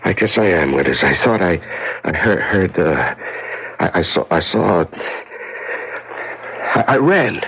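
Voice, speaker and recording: An actor playing a wealthy, lovesick, haunted businessman in a 1970s radio drama, fervent and halting. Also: low-pitched, big pitch swings, a bit bright, heavily acted. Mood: grieving, haunted. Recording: dull, noisy old radio broadcast.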